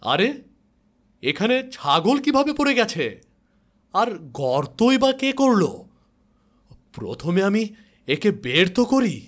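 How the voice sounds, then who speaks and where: Man acting a kindly, animated woodcutter father. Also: sits high, takes it slowly, pauses often, pitched above the performer's own voice, heavily acted. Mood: puzzled. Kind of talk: animated moral story character acting.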